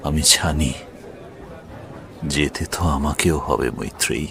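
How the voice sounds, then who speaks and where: Wistful middle-aged lover for romantic audio drama, male actor: deep, slow, tender, halting delivery. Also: vocal fry, pushed and bright, some chatter behind, full character voice. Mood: sad farewell. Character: wistful middle-aged man meeting his lost love.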